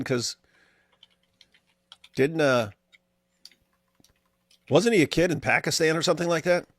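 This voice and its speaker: A man's voice: medium pitch, vocal fry, no echo, studio quality. A man co-hosting a casual talk show, joking and repeating his lines for effect. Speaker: co-host. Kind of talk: casual reaction chat.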